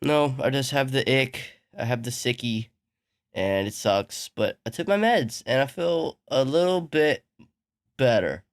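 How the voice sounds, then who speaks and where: A man's voice: medium pitch, lively intonation, a little slow. A man co-hosting a casual podcast while sick, sounding congested and nasal, joking and laughing at his own puns. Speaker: podcast co-host.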